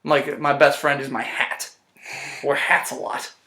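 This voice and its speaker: A man's voice: mid-range voice, flat delivery, normal speed, bright, forceful tone. A young man in a hospital bed, chatting casually with lots of fillers and slang, recorded on a phone camera across the room. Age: young adult. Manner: laid-back, rambling, slangy with lots of fillers. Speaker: interviewee. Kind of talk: casual chat.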